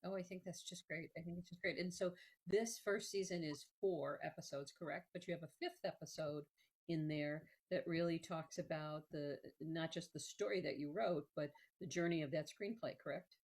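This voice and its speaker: A woman hosting a casual interview, effusive and halting, often repeating her phrases. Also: sits low, fairly even intonation, darkish tone. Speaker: interviewer, host.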